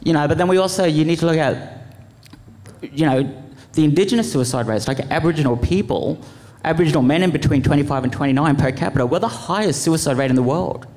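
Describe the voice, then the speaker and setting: Man, panelist, earnest, halting, impassioned, informal, reverberant venue. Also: on the high side, darkish tone. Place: large event venue, live panel. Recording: echoey hall with long reverb.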